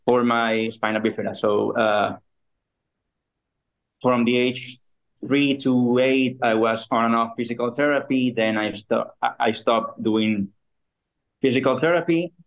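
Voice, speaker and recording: A man in his thirties from Ecuador, a guest on a podcast who speaks with frequent pauses and restarts. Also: mid-range voice, fairly even intonation, poor audio. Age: 30s. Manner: halting, with fillers and restarts. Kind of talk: casual interview.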